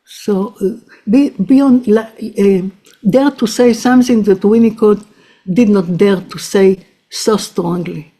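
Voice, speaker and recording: A woman psychoanalyst giving a halting academic talk, full of restarts and fillers. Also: mid-range voice, dark tone. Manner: hesitant, many restarts and fillers. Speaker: psychoanalyst presenting a paper.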